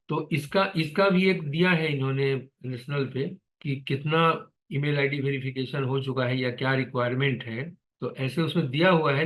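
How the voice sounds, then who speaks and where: A man chairing an online meeting, calmly explaining instructions to staff over a video call. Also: mid-range voice. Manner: measured, instructive. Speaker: senior organizer chairing a meeting. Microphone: computer or phone on a video call.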